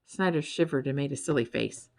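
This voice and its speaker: A woman narrating a children's story in an expressive, scripted storytelling style. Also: sits low, fairly quick, vocal fry. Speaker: audiobook narrator.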